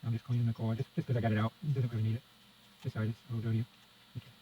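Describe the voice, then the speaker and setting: Guy talking quick thru a hands-on fix, kinda muttering along, muffled audio w a little noise. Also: medium pitch, level tone. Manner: muttering step-by-step commentary to himself and viewer. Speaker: presenter of a radio repair how-to. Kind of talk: casual hands-on demonstration talk. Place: indoors at a repair workbench. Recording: dull lo-fi sound with faint noise.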